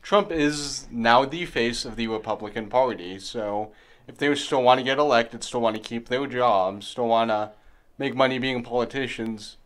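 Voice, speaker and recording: Male panel guest, mid voice, measured delivery. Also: mid-range voice, a bit bright. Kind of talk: casual political discussion.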